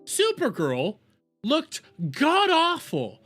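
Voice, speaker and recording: A man's voice: very high voice, wildly swooping pitch, extremely slow, a bit bright, faint music behind. A man hosting a reaction livestream, giving opinionated commentary in an emphatic, ranting way and repeating phrases for stress.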